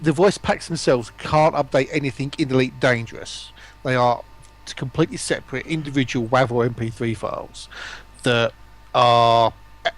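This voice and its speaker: Male stream guest, medium pitch, informal explainer in casual conversation. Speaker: stream participant explaining voice packs. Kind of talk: casual live stream chat.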